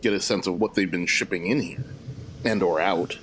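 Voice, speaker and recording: A man's voice: low voice, normal speed, a bit bright, slight background noise. A man talking casually as a player in a tabletop role-playing game.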